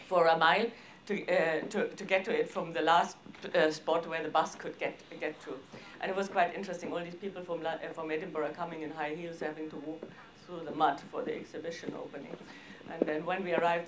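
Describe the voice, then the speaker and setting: Woman giving a slide talk, informal, lots of hesitating and broken sentences, medium pitch. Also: slight background noise. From Austria. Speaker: arts organisation director presenting projects. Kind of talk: seminar presentation with slides.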